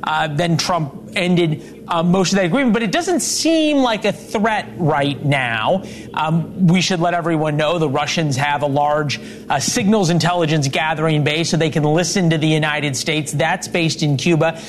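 A man's voice: a little high, normal speed, a little hiss or hum. A man hosting a cable news interview show, conversational and wry, with occasional fillers.

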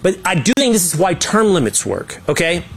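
An opinionated man hosting a political talk show, his voice sitting a touch higher than most men's. He argues his points emphatically, often restarting a sentence mid-thought in casual commentary. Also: big pitch swings, brisk, vocal fry, slight background noise.

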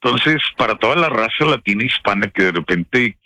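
A man from northern Mexico with a northern Mexican accent, a radio broadcaster telling anecdotes in a casual interview with expressive, slangy speech. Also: lowish voice, fairly quick, dry recording. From Piedras Negras, Mexico. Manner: colloquial, expressive storyteller with slang.

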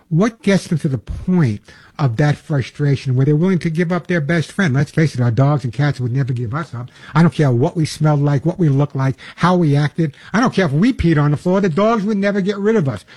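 A man's voice: on the high side, a little mellow, dry recording. An older man hosting a long-running pet radio show, talking in an emphatic, folksy monologue that repeats its points. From Long Island, New York.

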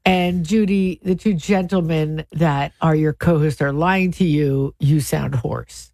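Hoarse woman radio co-host. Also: low-pitched, fairly even intonation, slow, darkish tone, no echo. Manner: wry, laughing, reads listener messages. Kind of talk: casual morning radio banter. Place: radio studio. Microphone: studio microphone.

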